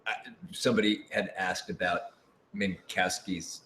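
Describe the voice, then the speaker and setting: A man chatting casually on a question-and-answer panel as a voice actor, animated and self-deprecating. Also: low voice, measured pace. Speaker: voice actor in an audio drama. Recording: roomy echo with clear background noise.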